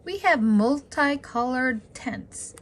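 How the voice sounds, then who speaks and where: A woman's voice: high-pitched, animated, takes it slowly, a little hiss or hum. A woman reading a picture book aloud to children as a storytime host, talking to them directly in a sing-song way.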